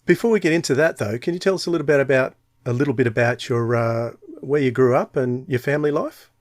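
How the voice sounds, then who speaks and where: A man's voice: medium pitch, fast talker. A man hosting a podcast, asking casual, conversational interview questions.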